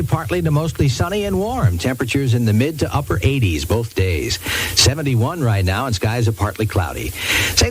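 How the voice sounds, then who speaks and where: A man's voice: mid-range voice, slight background noise, low-quality recording. An American man working as a radio DJ, delivering 1980s music radio patter and ad reads on an old aircheck.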